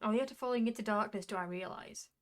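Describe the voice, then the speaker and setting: A woman narrating a visual novel as a wry, reflective young male jester, in measured storytelling. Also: mid-range voice, close to an everyday voice. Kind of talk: visual novel first-person narration read aloud.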